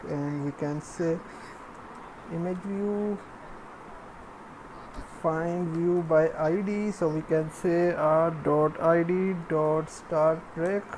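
A woman with a fairly low voice presents a programming screencast at a very slow pace. She speaks in short, halting pieces, walking through each step. Faint noise sits behind her. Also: darkish tone, low-quality recording. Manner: halting, piecemeal phrasing while typing. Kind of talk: step-by-step screencast narration of coding.

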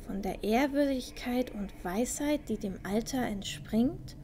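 A woman's voice: fairly high pitch, a little slow, noisy background, lightly acted. A woman playing a curious, adventurous young village girl in a fantasy audio drama, often muttering to herself. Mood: curious, musing.

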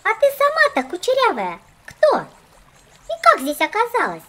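A woman's voice: very high-pitched, huge pitch swings, measured pace, pushed and bright, exaggerated voice. A woman voicing a fussy, boastful little doll girl in a children's cartoon, theatrical and condescending. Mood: haughty.

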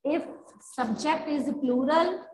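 A woman's voice: high-pitched, takes it slowly. A woman in her thirties with an Indian English accent, teaching a grammar lesson at a chalkboard and checking again and again that her students follow. She speaks into a lapel mic in a classroom. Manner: repetitive, checks in with students often. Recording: roomy classroom echo.

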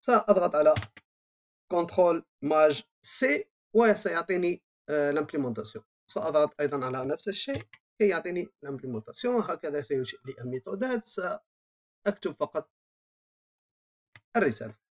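A programming instructor, explaining code while typing, thinking aloud with frequent drawn-out filler sounds. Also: mid-range voice, expressive. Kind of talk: screen-recorded coding tutorial. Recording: dull lo-fi voice-over mic.

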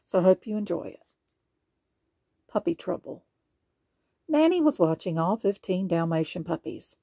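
Expressive woman reading a bedtime story. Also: fairly low pitch, moderate pace, creaky, no echo. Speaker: storybook reader.

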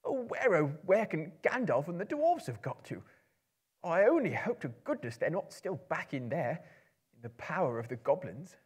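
A man's voice: a little high, very animated, normal speed, slightly rough, voice pushed up. A man playing a small fantasy adventurer, polite and anxious. The voice sits raised and exclaims fretfully, in the manner of a live storybook reading. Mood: worried wonder.

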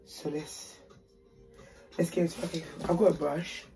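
A young woman with a low, raspy voice chats to camera in a small bedroom. Her talk is playful and full of slang. Faint music plays behind her. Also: little pitch movement, normal speed, warm tone. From United Kingdom. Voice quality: deep raspy voice. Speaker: haul vlogger. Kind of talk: casual chat to camera.

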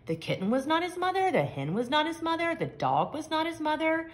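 A woman reading a picture book aloud to young children, with expressive, simple and emphatic phrasing. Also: high-pitched, fairly quick. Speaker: children's story reader. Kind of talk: expressive storybook read-aloud.

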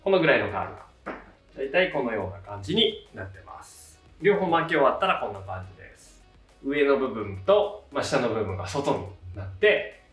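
A man's voice: mid-range voice, a bit bright. A man in his thirties explaining a tutorial step by step in a casual, polite instructional style. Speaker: hairdresser giving a tutorial, channel host. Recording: roomy echo, distant mic, faint music. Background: faint background music.

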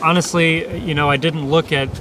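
A man's voice: on the high side, steady tone, moderate pace. A man in his thirties giving a casual, unscripted motorcycle gear review in a meandering, hesitant way, outdoors on a camera mic. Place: outdoors in dry grass by parked motorcycles. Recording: noisy outdoor camera recording.

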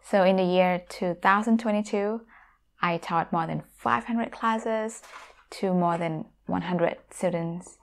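A woman in her thirties with a Thai accent chats straight to camera from her room at home. Her voice sits low and has a gravelly, fry-tinged edge. She speaks through a clip-on mic in a friendly, direct way. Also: takes it slowly. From Thailand. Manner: friendly, direct address to viewers. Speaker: YouTuber and language teacher. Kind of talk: casual talk to camera. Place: home room with guitars on the wall. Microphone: lapel mic.

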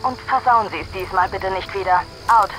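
An actress playing a stern army dispatcher who gives clipped, formal orders over military radio in a video game. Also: female voice, medium pitch, moderate pace, fairly bright tone, faint music behind, rough recording. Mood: stern, reproachful.

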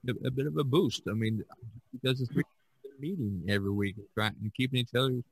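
A man hosting a casual podcast chat, reminiscing in a halting, rambling way and often repeating his words. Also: medium pitch, husky, no echo, poor audio. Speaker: podcast host.

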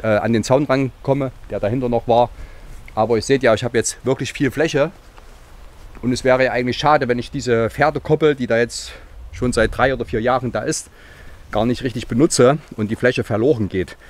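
A man in his forties with a slight cold, chatting casually and rambling cheerfully to a handheld camera outdoors on a rural yard. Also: mid-range voice. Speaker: vlogger. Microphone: handheld camera at arm's length.

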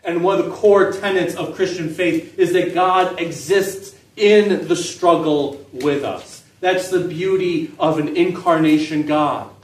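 A middle-aged male pastor preaching slowly and deliberately, his phrase endings dropping soft and low. His voice sits a little high for a man. He stands back from the mic in an open pavilion, so the sound is roomy and distant. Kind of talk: sermon. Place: open-air park pavilion. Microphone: stand mic, filmed from a distance.

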